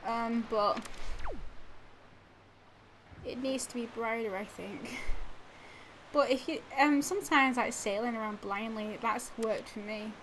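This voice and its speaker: A woman's voice: a little high, slow pace, pauses often, a bit bright, rough recording. A young woman with a stuffy nose from a cold, giving rambling casual commentary over a video game, full of fillers and restarts. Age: young adult. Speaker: let's play gamer. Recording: audible background noise.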